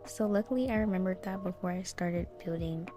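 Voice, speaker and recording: Bright-toned young woman, mellow game chatter. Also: on the low side, brisk, music in the background. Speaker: gaming video host. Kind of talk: casual chatty game commentary.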